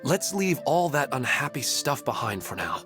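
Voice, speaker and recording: A man's voice: mid-range voice, sing-song, moderate pace, fairly bright tone. An actor playing an earnest, humble husband and father in a video game, plain-spoken and sincere. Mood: tender, apologetic. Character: earnest family man, humble, grateful, wistful husband and father. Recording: music clearly behind the voice.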